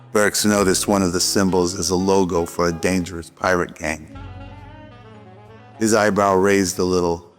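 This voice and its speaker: A man's voice: deep voice, a bit bright. A man narrating a scripted noir radio drama. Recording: music behind the voice.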